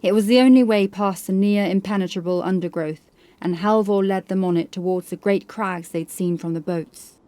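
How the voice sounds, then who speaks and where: A woman's voice: mid-range voice, moderate pace, dark tone, dry recording. A woman reading scripted horror fiction as an audiobook narrator.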